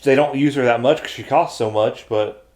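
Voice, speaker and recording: Male podcast host, medium pitch, informal conversational delivery. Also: moderate pace, darkish tone. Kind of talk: casual chat.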